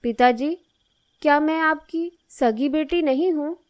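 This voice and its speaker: A dutiful young daughter acted by a woman in a cartoon moral story. Her voice is high and she speaks slowly, with an earnest, plaintive and affectionate manner. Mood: hurt, questioning.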